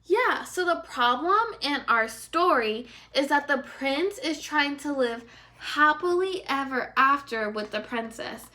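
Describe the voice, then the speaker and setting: A woman teaching first graders through a read-aloud lesson. Her voice is fairly high and bright, and she is expressive, addressing the pupils directly. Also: a little slow.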